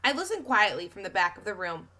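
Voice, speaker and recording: Bright-voiced woman reading a story. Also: medium pitch, very animated, fast talker. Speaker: read-aloud storyteller. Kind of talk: expressive scripted story narration for children.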